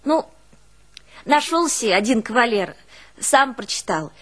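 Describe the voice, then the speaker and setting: A woman's voice: on the high side, measured pace, full character voice. An actress playing an artless, emotional peasant woman with a northern Russian rural dialect, telling her life in a theatrical folk monologue. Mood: artless recollection. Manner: folksy, expressive, exclaiming and musing aloud. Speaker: stage actress in a one-woman play.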